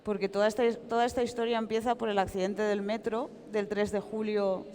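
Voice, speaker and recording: In a big reverberant hall, a woman with a Valencian accent gives an interview in Spanish. She tells her account frankly and with emphasis, at a middling pitch, as an author and journalist would. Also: little pitch movement, a little fast, darkish tone. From Valencia, Spain. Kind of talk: public interview, spoken account. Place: large reverberant hall at comic convention. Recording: echoey hall with long reverb.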